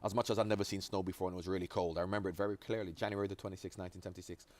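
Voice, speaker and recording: A man's voice: sits low, little pitch movement, rapid-fire, a little mellow. A man in his forties from Jamaica, a former footballer chatting as an interview guest, telling long stories with restarts and asides. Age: 40s. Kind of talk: relaxed interview chat.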